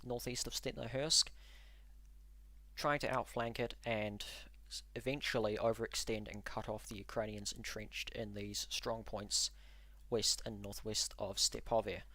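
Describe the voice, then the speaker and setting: A man hosting a live stream, walking through frontline maps and answering chat in an analytical, measured way with frequent ums and uhs. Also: medium pitch, sharp tone, no room sound. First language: English. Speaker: livestream host and war mapper.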